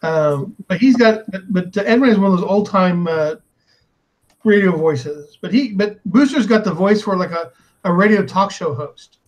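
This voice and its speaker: A man's voice: high voice, lively intonation, moderate pace, poor audio. A man in a casual group chat on a call, making wry jokes.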